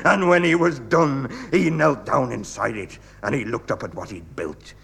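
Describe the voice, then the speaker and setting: Stubborn old Northern English mill owner. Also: male voice, mid-range voice, moderate pace, gravelly, a bit bright. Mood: proudly reminiscing. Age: older. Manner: dogged, theatrically earnest dialect speech. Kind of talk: radio comedy sketch acting.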